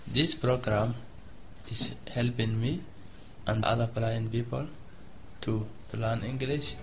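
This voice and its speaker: A man from Somalia, an adult student speaking in slow, steady short phrases of learner English. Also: mid-range voice.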